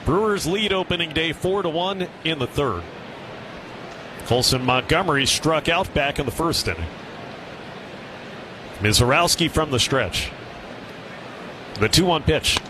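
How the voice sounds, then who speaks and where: A man's voice: on the high side, a little slow, pauses often. A man with an American accent calling a live baseball game on the radio as play-by-play announcer. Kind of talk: live radio sports commentary. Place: stadium broadcast booth. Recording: noisy ballpark ambience behind. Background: steady ballpark noise.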